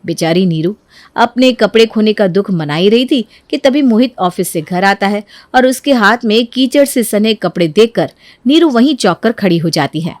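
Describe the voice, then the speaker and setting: A woman narrator reading a scripted story in an expressive storytelling style. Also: medium pitch, moderate pace, dry recording.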